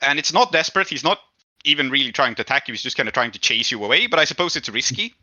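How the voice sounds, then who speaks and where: A man with a very bright, keen voice runs a tabletop game, explaining rulings conversationally. His pitch moves animatedly. The recording is dry and slightly dull. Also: animated, very fast, no echo. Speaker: game master. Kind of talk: narrating and adjudicating a tabletop game, casual.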